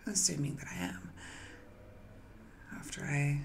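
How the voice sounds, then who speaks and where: Slow, seductive ancient villainess, played by a woman. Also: deep voice, sing-song, a little hiss or hum, full character voice. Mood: teasing, seductive. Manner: slow, breathy, coy, often dropping to a whisper. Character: ancient banished villainess spirit, seductive, lonely, sly. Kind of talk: intimate roleplay audio drama monologue.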